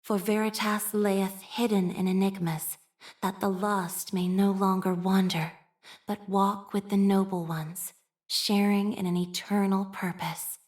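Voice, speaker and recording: A woman's voice: mid-range voice, level tone, darkish tone, close to an everyday voice. Woman playing a devout, formal, shy young game priestess. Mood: solemn, reverent. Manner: formal, measured, liturgical phrasing with hesitant shyness. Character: devout, shy, machine-minded young priestess of a data faith. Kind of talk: scripted game character voice lines.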